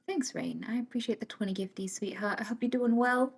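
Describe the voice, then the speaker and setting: A woman's voice: a little high, fairly quick, gravelly, slight background noise. A young British woman hosting a livestream, chatting casually and teasing her viewers. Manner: chatty, teasing, thanks viewers warmly by name. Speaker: livestream host. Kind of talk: casual chat with live viewers.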